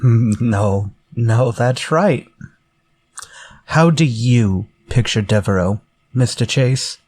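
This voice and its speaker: An actor playing a menacing, politely theatrical murderer in a retro-style radio drama. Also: male voice, mid-range voice, darkish tone, husky, no echo. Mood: politely probing. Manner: courtly, measured, theatrical. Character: menacing murderer from a story, politely coaxing then vengeful.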